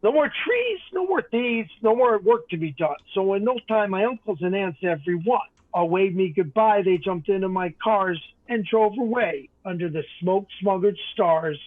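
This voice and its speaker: A man reading a rhyming bedtime story aloud in an expressive, dramatic way. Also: sits high, moderate pace, few pauses. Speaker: storybook reader. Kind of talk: theatrical bedtime story narration in rhyme. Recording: tinny, phone-like narrow band.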